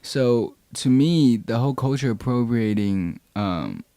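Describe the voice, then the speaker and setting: A man's voice: mid-range voice, animated, a little slow, dark tone, no echo. A young man, a rapper guest talking in a laid-back, slangy way on a podcast. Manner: laid-back, slangy, quotes people in raised voices. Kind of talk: casual podcast conversation.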